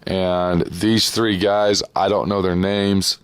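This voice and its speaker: A man's voice: sits low, little pitch movement, slow, smooth, no room sound. A man hosting and casually introducing an old radio episode, repeating words for emphasis.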